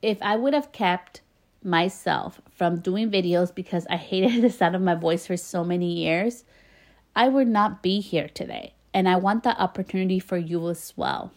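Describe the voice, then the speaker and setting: A woman's voice: fairly low pitch, very animated, moderate pace, a little mellow. A woman podcast host with a slight Spanish-influenced accent, talking casually and encouragingly to her listeners, laughing now and then between phrases. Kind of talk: casual motivational monologue.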